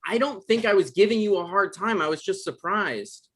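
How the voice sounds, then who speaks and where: A man's voice: high-pitched, normal speed, a bit bright, breathy edge. An actor playing an awkward, evasive novelist in his thirties, stammering through naturalistic comedic dialogue in a table read. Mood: defensive. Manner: hesitant, stammering. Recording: online live-stream table read.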